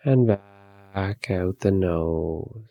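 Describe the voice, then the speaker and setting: A man leading a guided meditation, giving calm, soothing, unhurried instructions with long pauses. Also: sits low, dry recording, muffled recording.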